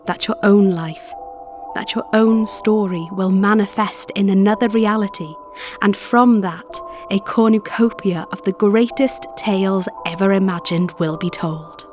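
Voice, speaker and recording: Slow, gentle female therapist acted by a woman. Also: mid-range voice, lightly acted. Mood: tender, reassuring. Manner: soothing, earnest, deliberate with pauses. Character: gentle, protective female visualization therapist guarding imagined minds. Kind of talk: ASMR story roleplay. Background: background music.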